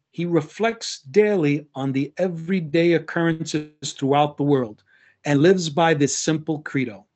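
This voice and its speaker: A man introduces his guest on a podcast in a measured, earnest way, pausing now and then with small fillers. His voice sits a little high for a man. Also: a little mellow. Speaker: podcast host. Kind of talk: warm formal interview introduction.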